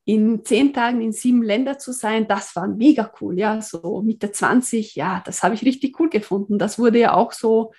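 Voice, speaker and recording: Woman guest, slightly high husky voice, lively, opinionated, casual talk. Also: normal speed, few pauses. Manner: lively, opinionated, acts out quotes. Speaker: podcast guest, productivity speaker. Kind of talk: casual conversational interview.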